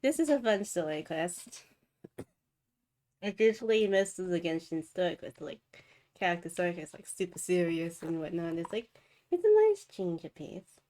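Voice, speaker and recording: A woman's voice: lowish voice, big pitch swings, normal speed, dark tone. A woman live streamer casually reacting while playing a game.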